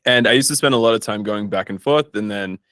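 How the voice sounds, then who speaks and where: A man's voice: on the low side, fast talker, a bit bright, dry recording. A man chatting casually as an interview guest, informal and self-deprecating, recorded in a studio. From Australia. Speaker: guest, startup founder and investor.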